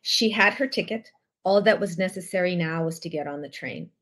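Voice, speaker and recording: A woman from the former Soviet Union whose first language is Russian, reading an excerpt from her historical novel over a video call from a small room at home, at a measured pace with occasional self-corrections. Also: lowish voice, a bit bright. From Odessa, then USSR. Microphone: laptop webcam mic on video call.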